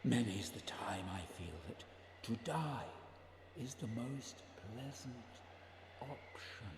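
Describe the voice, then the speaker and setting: A man's voice: medium pitch, slow, lightly acted. A man acting in a radio play as a brooding, burdened leader of a polar expedition, grave and introspective. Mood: haunted, grave. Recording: echoey reverberant room, faint noise.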